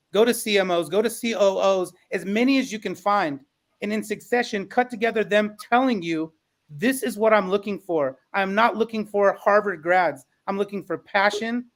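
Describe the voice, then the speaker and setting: This man has a high-pitched voice for a male speaker. He talks in a conversational panel style, laying out his points in an articulate and persuasive manner. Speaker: podcast panelist.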